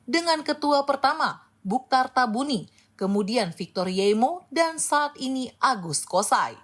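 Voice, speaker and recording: Higher-pitched woman reading news. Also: expressive, measured pace. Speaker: news narrator. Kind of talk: scripted news narration.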